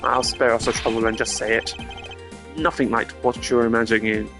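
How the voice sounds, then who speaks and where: Guy doing a calm stiff prosecutor voice, precise, kinda gravelly, game music going. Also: medium pitch, pitched below the performer's own voice, close to an everyday voice. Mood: composed. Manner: measured, formal, precise deductions. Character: cool, composed male prosecutor, sharp and formal. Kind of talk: live-stream game voiceover.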